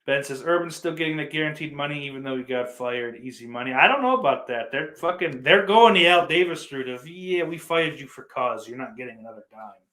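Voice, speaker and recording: A man's voice: on the high side, expressive, fairly quick, few pauses, pushed and bright, rough recording. A man hosting a podcast, chatting casually and answering listener comments in a blunt, profane way. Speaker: podcast host.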